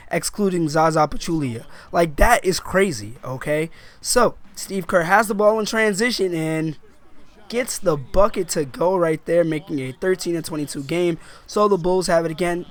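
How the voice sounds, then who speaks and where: A young man with a slightly rough, sick voice, giving chatty, hyped commentary over his basketball video game. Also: high voice, fairly bright tone. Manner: hyped, chatty, clears throat often. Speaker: gaming YouTuber. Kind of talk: casual gameplay commentary.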